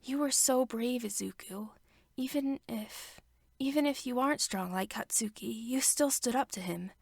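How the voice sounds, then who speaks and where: Woman doing a gentle, fretful young mum, soft and hushed, a little higher, in a storybook reading. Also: moderate pace, gravelly, dry recording, studio quality. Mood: tender. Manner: soft, soothing, sometimes whispered. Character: gentle worried young mother, tender and protective.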